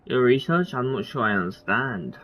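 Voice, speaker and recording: A game streamer reading dialogue aloud and commenting in a halting, offhand, casual way. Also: medium pitch, sing-song, a bit bright, soft background music.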